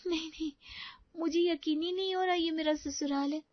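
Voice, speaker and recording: A woman's voice: very high-pitched, steady tone, moderate pace, no room sound, lo-fi sound. A woman playing a timid, grateful young orphan bride in an audio story, hesitant and deferential. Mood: tearful gratitude.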